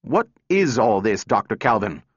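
A man's voice: medium pitch, very animated, measured pace, a bit bright, pitched above the performer's own voice. A man voicing a stiff, formal and uneasy male research director in a storybook reading. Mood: stiff, hostile. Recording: echoey room, dull muffled sound.

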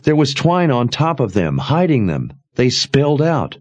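A man's voice: medium pitch, huge pitch swings, unhurried, a little mellow, dull audio, voice pushed up. A man voicing a frightened, accusing young woman in a fantasy audiobook, raised and strained. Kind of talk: audiobook character reading.

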